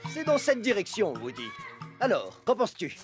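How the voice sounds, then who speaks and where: Cartoon monkey sidekick: exuberant, friendly jungle guide, hugely animated pitch, music underneath. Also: male voice, normal speed, full character voice. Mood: eager. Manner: exuberant, eager, theatrical. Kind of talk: cartoon voice acting, French dub.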